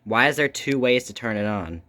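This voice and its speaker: A man's voice: medium pitch, animated, moderate pace, heavy background noise. A man hosting an amateur gadget video in casual, unscripted talk, muttering informally as he fiddles.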